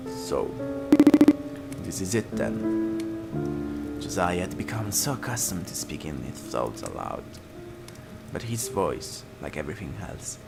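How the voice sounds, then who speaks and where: A man hosting a game playthrough, reading a visual novel aloud like a dramatic storyteller and sometimes dropping to a breathy hush. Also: on the low side. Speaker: let's play host. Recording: music playing loudly behind the voice. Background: game music.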